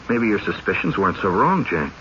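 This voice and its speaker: An excitable, insistent fellow, wildly animated. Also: male voice, sits low, normal speed, heavily acted. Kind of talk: old-time radio drama acting. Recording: muffled radio recording with faint hiss.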